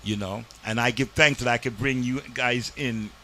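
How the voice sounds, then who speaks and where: Bright-voiced man hosting community TV. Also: mid-range voice, fairly even intonation, moderate pace, a little hiss or hum. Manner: preachy, emphatic, uses "this here". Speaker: community TV host. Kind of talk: casual interview and commentary. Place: studio.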